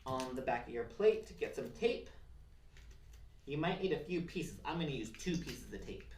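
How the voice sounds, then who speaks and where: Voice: mid-range voice, animated, moderate pace, a little mellow. A craft presenter giving simple, encouraging step-by-step instructions to children. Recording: roomy, distant mic, faint noise and clicks.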